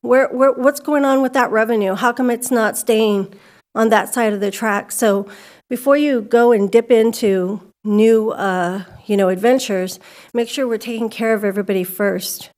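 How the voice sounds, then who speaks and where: A woman's voice: fairly high pitch, steady tone, normal speed, a little mellow. Candid woman speaking at a podium mic. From California. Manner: candid, conversational, grows emphatic. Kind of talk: public comment at a school board meeting. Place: civic center meeting hall.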